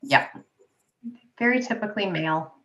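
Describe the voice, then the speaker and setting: A woman's voice: lowish voice, expressive, normal speed, a bit bright, muffled recording. A chatty woman with a young-sounding voice, a podcast guest in casual conversation over a remote call. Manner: chatty, laughs easily. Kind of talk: casual chat.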